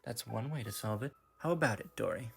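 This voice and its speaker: A man voicing a stern, smug male enforcer from a game in a deliberate, taunting fan-dub delivery. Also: mid-range voice, vocal fry, a bit bright. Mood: challenging.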